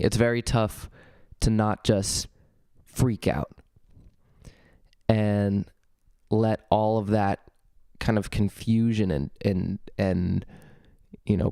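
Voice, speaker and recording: Low, smooth young man with a cold. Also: fairly even intonation, slow pace, pauses often, mellow, dry recording, studio quality. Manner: rambling, many ums and restarts. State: slightly gravelly from a cold. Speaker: podcast host. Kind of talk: casual solo podcast talk. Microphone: studio vocal mic.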